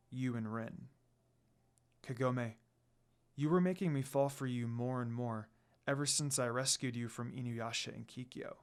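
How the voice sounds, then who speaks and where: A man voicing a solemn, tender demon lord in a fantasy story, speaking softly and in measured, earnest phrases. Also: lightly acted. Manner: low, soft, measured, earnest. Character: solemn, tender, cool-headed male demon lord in love.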